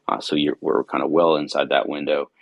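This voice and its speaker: A man hosting a live markets session, talking casually with many fillers and restarts, tired and a little raspy. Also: rumbling low, little pitch movement, a little fast, dry recording, highs cut off.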